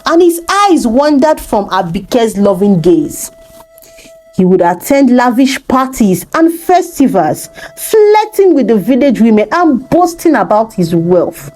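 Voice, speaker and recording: A woman's voice: medium pitch, a little mellow. A woman narrating a folktale as a storyteller, reading from a script.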